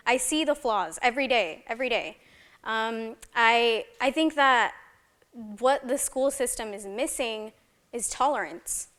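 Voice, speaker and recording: Passionate young woman student, higher voice. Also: animated, measured pace, a bit bright. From El Salvador. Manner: passionate, rhetorical questions. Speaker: student panelist. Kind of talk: impassioned panel remarks.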